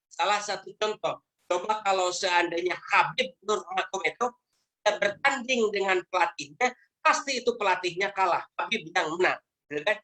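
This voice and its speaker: A woman's voice: mid-range voice, bright, forceful tone. A woman leading a motivational network marketing training over a video call, speaking emphatically in a lecturing manner and posing rhetorical questions.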